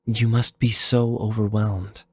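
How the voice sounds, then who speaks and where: Man voicing a soft, husky, anxious teenage girl. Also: fairly low pitch, little pitch movement, measured pace, no echo, pitched above the performer's own voice. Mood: soft, apologetic. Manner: hesitant, apologetic. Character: gentle, anxious, pleading teenage human girl. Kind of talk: fan fiction audio drama dialogue.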